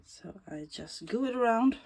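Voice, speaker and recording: A woman with a Swedish accent, Swedish native, talking through a bookbinding tutorial in a slow, thoughtful way that often drops to a soft whisper while she works. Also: on the high side, lively intonation, gravelly, a little hiss or hum. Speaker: bookbinding crafter. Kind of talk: casual craft tutorial.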